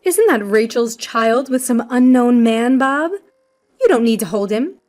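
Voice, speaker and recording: A woman's voice: on the high side, expressive, moderate pace, lightly acted. A woman voicing a spiteful, smug older mother-in-law in a story, with a haughty, accusing, emphatic delivery. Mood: smug contempt. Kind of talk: dramatized storytelling.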